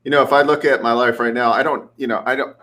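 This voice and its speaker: A man's voice: mid-range voice, fairly even intonation, quick pace, bright, forceful tone. A man in his forties chatting casually on a live show, rambling with many restarts and fillers. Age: 40s. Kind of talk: casual chat.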